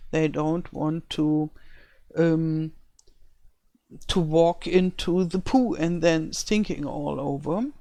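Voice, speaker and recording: A woman's voice: on the low side, a little mellow, no room sound. An older woman from Germany with a German accent, who speaks English as a second language and thinks aloud while building a game map, sometimes searching for words. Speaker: let's-play creator. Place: home in rural France.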